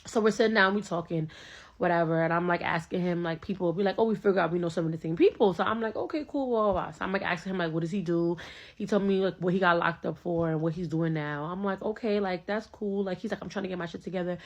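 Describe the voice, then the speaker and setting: A woman's voice: fairly low pitch, quick pace, muffled recording. Chatty New woman telling a story. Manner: animated, slangy, acts out both sides of dialogue. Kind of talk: casual storytelling.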